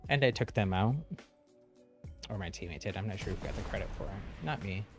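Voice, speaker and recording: A man giving casual, easygoing live commentary on his own gameplay, talking to himself as he plays. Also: on the low side. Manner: easygoing, talks to himself while playing. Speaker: game streamer. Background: video game music.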